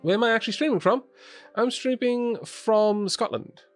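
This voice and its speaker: A man's voice: very high-pitched, normal speed, faint music behind. A young man with a Welsh accent, casually thinking aloud as he streams a building game. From Wales. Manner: chatty, thinking aloud while building, small restarts. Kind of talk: casual gameplay commentary. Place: home streaming setup in Scotland.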